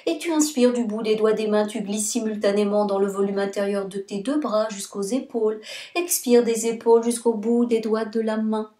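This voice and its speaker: A woman yoga nidra instructor guiding a relaxation meditation in a soothing, deliberate manner, in short phrases with pauses. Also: medium pitch, steady tone, mellow. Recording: roomy, echoing sound.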